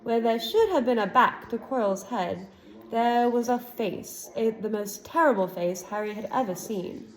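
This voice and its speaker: Expressive woman reading a storybook aloud. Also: a little high, measured pace, low-quality recording. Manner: expressive narration, relaxed asides. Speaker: storybook reader.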